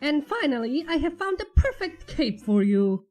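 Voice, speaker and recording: A woman voicing a pompous, excitable male skeleton in a story reading, proclaiming everything emphatically. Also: high voice, normal speed, faint music behind, voice pushed up. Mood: proud, happy.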